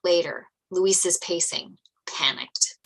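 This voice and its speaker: A woman's voice: on the low side, takes it slowly, vocal fry, breathy edge, low-quality recording. A woman reading stage directions as scripted narration at a table read.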